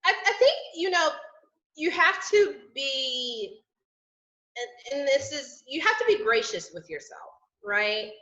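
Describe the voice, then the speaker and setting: A woman's voice: high-pitched, very animated, slow pace, pushed and bright, echoey room, poor audio. A woman guest who owns a business, speaking reflectively in casual conversation with many "you know"s.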